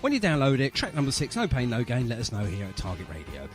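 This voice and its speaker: A man's voice: medium pitch, huge pitch swings, quick pace. A man hosting a casual radio music interview, chatty and full of opinions. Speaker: radio show host.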